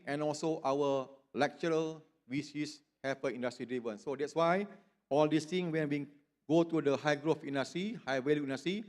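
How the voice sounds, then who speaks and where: A man on an education forum panel, speaking haltingly and restarting his phrases. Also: a little high. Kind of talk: panel discussion answer. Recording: long reverb of a large hall.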